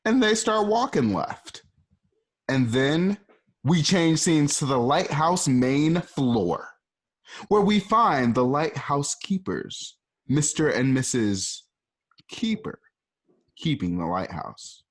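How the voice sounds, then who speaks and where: A man's voice: fairly high pitch, sing-song, takes it slowly. A man narrating scenes of an amateur radio play in a loose, chatty way. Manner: loose, chatty, repeats himself.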